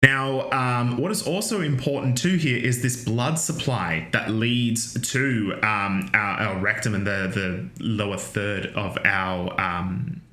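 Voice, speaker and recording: A man lectures on anatomy with a bright, forward tone. He talks casually, trailing into fillers and restarts, and drops in joking asides. The sound carries the echo of a large room. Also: fairly low pitch, slow, few pauses. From Australia. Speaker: university lecturer.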